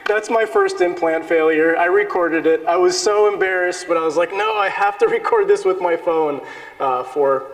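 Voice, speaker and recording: A man's voice: sits high, normal speed. A man with an American accent giving an informal, conversational, self-deprecating lecture with personal anecdotes. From born in Peru, grew up in the United States. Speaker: lecturer, dental implant surgeon. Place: indoor lecture hall with an audience. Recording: echoey hall with long reverb.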